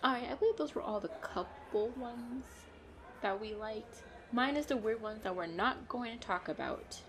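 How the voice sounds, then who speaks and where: A woman playing a playful, excitable girlfriend in a chatty roleplay audio, with a bubbly, expressive delivery. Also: fairly high pitch, moderate pace, a little hiss or hum. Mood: excited, teasing. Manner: bubbly, expressive, frequent giggles and exclamations. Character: playful, excitable, pleading girlfriend out costume shopping.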